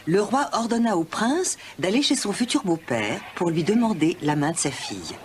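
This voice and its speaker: A woman's voice: on the low side, very animated, normal speed, noisy background. A woman narrating a children's fairy tale from a script, as a storyteller. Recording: music behind.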